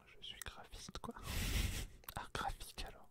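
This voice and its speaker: A man's voice: slow, a bit bright. A young man from France whispering through a casual vlog in a joking, self-mocking way. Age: young adult. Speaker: freelance graphic designer. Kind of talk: whispered ASMR-style vlog.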